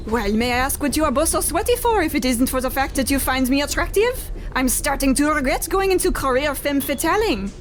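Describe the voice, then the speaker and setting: A woman's voice: high-pitched, expressive, brisk, few pauses. A woman playing a coquettish femme fatale opera singer, in an old-time radio comedy style. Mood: coy, dramatic. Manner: coquettish, theatrical.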